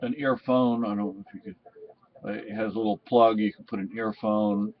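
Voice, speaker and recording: A man giving a casual, unscripted product review in a halting, rambling way. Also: medium pitch, animated, vocal fry. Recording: dull, muffled lo-fi sound.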